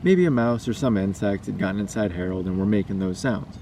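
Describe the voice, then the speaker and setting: A man's voice: fairly low pitch, brisk, dark tone. A man reading a scary story aloud as a storyteller. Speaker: storyteller and vlogger. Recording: distant mic, noisy background.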